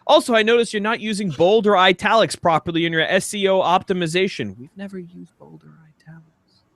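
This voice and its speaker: A man's voice: sits high, a little fast, a bit bright, dry recording. A man co-hosting a podcast, chatting casually and doing mock-dramatic readings in an amused, rambling way. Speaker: podcast co-host.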